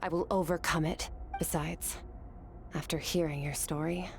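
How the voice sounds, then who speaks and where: An actress playing a stern, resolute warrior disciple in a video game, speaking in a measured, matter-of-fact way. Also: female voice, low-pitched, fairly even intonation, slight background noise.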